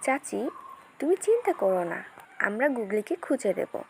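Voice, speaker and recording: A woman's voice: mid-range voice, very animated, moderate pace, vocal fry, a little mellow, slight background noise, voice pushed down. A woman playing helpful village children in a cartoon story, speaking plainly and reassuringly. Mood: reassuring, determined.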